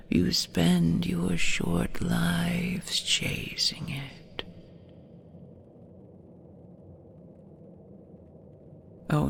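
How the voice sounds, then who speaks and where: An ancient she-dragon, played by a woman, murmurs in a very slow, low whisper full of heavy creak. She is tender and possessive, coaxing her listener with hypnotic, old-fashioned formality in an intimate ASMR roleplay. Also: full character voice. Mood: coaxing, seductive.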